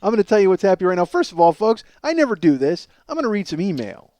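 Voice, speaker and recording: A man in his forties with a slightly hoarse voice, hosting a podcast as a casual comedic monologue, rambling, profane and self-deprecating. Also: sits high, sing-song, quick pace, warm tone. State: slightly hoarse from strained singing. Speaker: podcast host.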